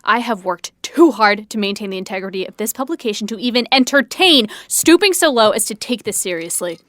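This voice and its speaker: A woman's voice: mid-range voice, expressive, fairly quick, fairly bright tone, no echo, close to an everyday voice. An actress playing a stern, commanding female editor-in-chief in an audio drama. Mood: angry. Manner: cold, firm, commanding.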